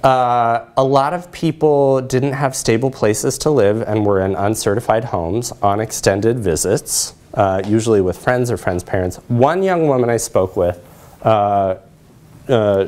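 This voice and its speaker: A man's voice: medium pitch, a little slow, a little mellow. American man giving an academic presentation. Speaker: researcher presenting findings. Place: lecture room, indoors.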